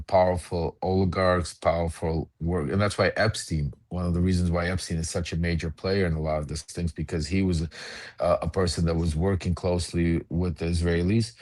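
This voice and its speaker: A middle-aged man from the former Soviet Union speaks in a very low voice, close and dry, with no room around it. He rambles on a video call, restarting sentences and correcting himself as he goes. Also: darkish tone, dry recording. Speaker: panel guest. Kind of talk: casual discussion.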